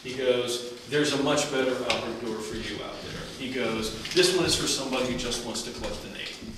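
A man's voice: medium pitch, recorded from a distance, noisy background. Man speaking from across an echoey gallery room. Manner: chatty, anecdotal, quick to laugh. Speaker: print collector on a panel. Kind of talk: casual panel discussion, storytelling. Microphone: far camera.

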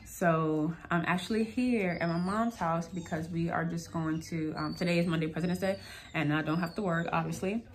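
A woman's voice: on the low side, steady tone, moderate pace. A woman, chatting and teasing as she vlogs on a phone held at arm's length in a car and at home. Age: 20s. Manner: chatty, teasing, laughs often. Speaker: vlogger. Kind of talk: casual vlog chat.

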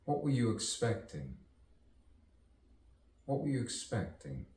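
A man performing a theatrical poetic soliloquy full of wordplay, musing dramatically and drawing his words out with long pauses. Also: medium pitch, sing-song, a little mellow. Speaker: poet performing own monologue. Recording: roomy, distant mic, faint background noise.

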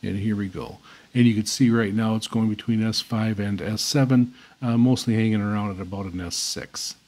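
A man giving an unscripted hands-on demonstration as host, speaking haltingly with very frequent uhs. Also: lowish voice, fairly even intonation, a little mellow. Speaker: host demonstrating shortwave antennas. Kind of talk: unscripted product demonstration commentary. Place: indoors at a radio desk.

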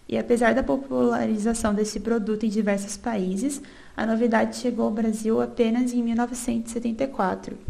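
A woman's voice: a little high, creaky, darkish tone. A young woman from Brazil reading a student research presentation over slides, with small restarts and a filler "é" before each new part. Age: young adult. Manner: reads steadily from notes with small restarts and filler "é".

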